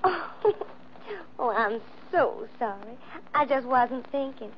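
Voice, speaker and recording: An actress playing a sweet, gushing, clinging Southern belle with a Georgia accent, coy, in 1940s radio style. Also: female voice, sits high, expressive, slow, exaggerated voice. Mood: coy, grateful. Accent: American South, Georgia. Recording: muffled, noisy old radio recording.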